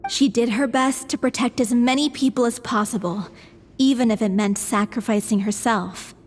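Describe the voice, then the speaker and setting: A woman's voice: a little high, normal speed, close to an everyday voice. Sincere young actress, reverberant hall woman acting. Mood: solemn. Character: sincere, humble young actress in a theater troupe. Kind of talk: earnest video game voice acting.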